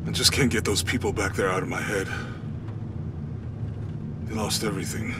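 A man's voice: steady tone, normal speed, natural voice. A man playing a cautious, loyal companion in his thirties, concerned and questioning, in a naturalistic game cutscene. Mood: worried, doubtful. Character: loyal, worried male companion of an adventurer, cautious. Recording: clear vehicle rumble behind dialogue. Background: aircraft cabin noise.

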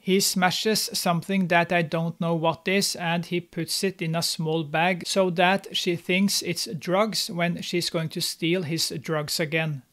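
A man's voice: on the high side, little pitch movement, measured pace, few pauses, a bit bright. A young man hosting a movie recap, narrating the plot with snarky, mocking commentary. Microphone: studio mic, on camera.

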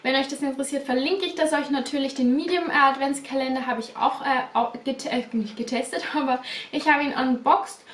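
A young woman in her twenties chatting casually as a beauty vlogger, slightly stuffy from a cold, repeating words and filling pauses. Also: sits high, steady tone, a little hiss or hum. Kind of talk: casual unboxing chat. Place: small bedroom studio indoors. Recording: roomy echo, a bit distant.

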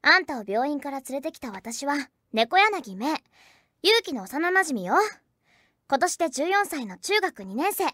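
A woman's voice: high-pitched, very animated, measured pace, bright, forceful tone, dry recording, studio quality, exaggerated voice. A woman playing a frank fourteen-year-old schoolgirl in an anime audio drama, casual and straightforward. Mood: matter-of-fact.

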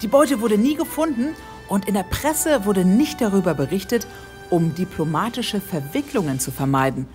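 Woman as an animated, eager police assistant. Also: mid-range voice, normal speed, soft background music, close to an everyday voice. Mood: excited, triumphant. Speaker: detective's assistant. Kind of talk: comedic radio crime play acting.

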